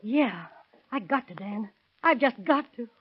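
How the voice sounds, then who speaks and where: An actress playing an anxious, secretive wife in a breathless, agitated 1930s radio drama style. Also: female voice, a little high, expressive, measured pace, husky. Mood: anxious, insistent. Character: frightened wife of a murdered man, secretive and anxious. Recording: noisy, muffled vintage radio recording.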